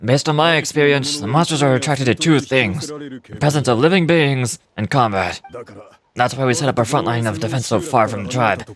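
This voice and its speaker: A man voicing a measured, earnest warrior who guards his tribe in a fantasy game, speaking politely. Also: mid-range voice, a crowd in the background, lightly acted. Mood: earnest, calm. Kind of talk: reading game dialogue in character.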